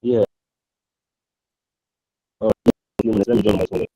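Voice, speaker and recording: A man's voice: on the low side, little pitch movement, takes it slowly, dark tone, no echo. A man giving a university lecture online, putting questions to his class. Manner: questioning, draws answers from the class. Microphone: online call audio. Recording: dull lo-fi online call audio.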